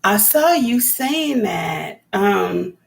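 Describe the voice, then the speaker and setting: A woman with a raspy voice chatting casually on a live stream as a homesteading vlogger, rambling and talking to viewers by name. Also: medium pitch, sing-song, drawn-out words. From California.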